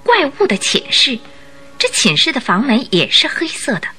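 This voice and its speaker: 一位女旁白以富有戏剧性的方式讲述广播剧故事。. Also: female voice, a little high, wildly swooping pitch, moderate pace, bright, forceful tone, slightly rough, faint music behind. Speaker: narrator. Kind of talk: dramatic scripted storytelling narration.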